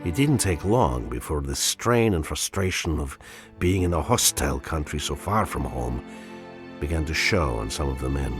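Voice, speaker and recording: Very deep-voiced Soviet officer narrator. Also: male voice, expressive, normal speed, over music. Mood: sombre, reflective. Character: weary, reflective Soviet officer recounting a war. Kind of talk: scripted narration for a video game.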